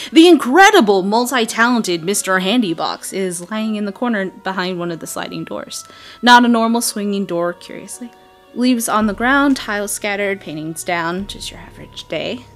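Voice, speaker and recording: A woman talks casually over faint music, like a game commentator breaking down footage. Her voice sits at an average female pitch, and her delivery is chatty and expressive, dotted with wry asides.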